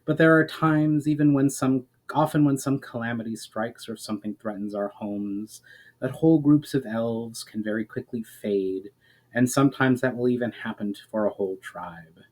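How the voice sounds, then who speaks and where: A man voicing a grave, ancient elf in a fantasy storybook reading, speaking in a measured, formal, explanatory way. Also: medium pitch, a little mellow, close to an everyday voice, rough recording. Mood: solemn.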